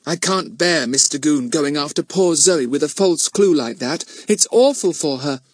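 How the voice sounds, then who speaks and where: A man's voice: on the high side, animated, normal speed, dry recording, studio quality, voice pushed up. A man voicing an earnest, kind-hearted schoolgirl in a children's audiobook, with a worrying manner. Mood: anxious.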